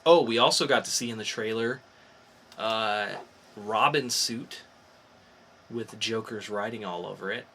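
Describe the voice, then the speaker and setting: A man's voice: lowish voice, measured pace, pauses often, fairly bright tone, a little hiss or hum. A man hosting a podcast, talking casually about geek news in an informal, explanatory way with some swearing. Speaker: podcast host.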